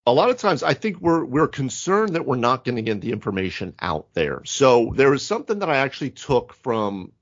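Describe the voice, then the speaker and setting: A man with a medium-pitched voice chats as a podcast co-host about tabletop gaming. He is emphatic and rambling, fond of exaggeration, and the audio is a little muffled. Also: sing-song, brisk, few pauses. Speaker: podcast co-host, game master. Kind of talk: casual tabletop gaming discussion. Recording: dull lo-fi audio.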